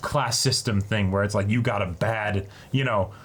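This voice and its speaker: A man's voice: on the low side, steady tone, moderate pace, breathy edge. A man co-hosting a fan channel in casual, rambling conversation, acting out imagined quotes as he goes. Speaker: co-host of an anime fan channel.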